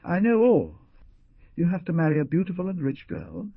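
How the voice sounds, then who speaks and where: A man's voice: sits high, wildly swooping pitch, normal speed, vocal fry, breathy edge, no echo, voice pushed up, exaggerated voice. A man voicing a desperate older peasant mother who pleads tearfully, in a dull lo-fi recording. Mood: pleading. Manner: tearful, imploring.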